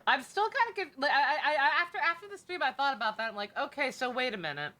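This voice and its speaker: A woman's voice: high voice, expressive, fast talker, bright, forceful tone. A woman livestreaming a game, chatting casually with viewers in a sweary, wry, sarcastic way. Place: indoor game streaming setup, game music behind.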